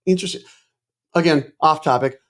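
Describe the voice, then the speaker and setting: A man hosting a podcast, asking thoughtful, halting questions in a casual interview. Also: on the high side, a bit bright. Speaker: podcast host.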